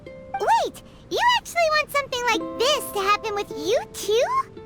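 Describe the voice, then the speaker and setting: A woman voicing a tiny, excitable, childlike fairy companion girl in an anime game, squeaky and bubbly. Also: measured pace, over loud music, full character voice. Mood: excited. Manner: bubbly, speaks of herself in third person.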